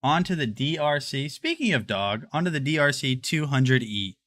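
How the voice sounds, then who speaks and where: A man in his twenties talks to his livestream chat in a loose, rambling way, trailing off and restarting as he moves through his house. His voice is bright and forward. The sound is dry, with little room echo. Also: medium pitch, big pitch swings, moderate pace. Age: 20s. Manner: rambling, chatty, addresses chat. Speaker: livestream host. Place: home, walking through rooms.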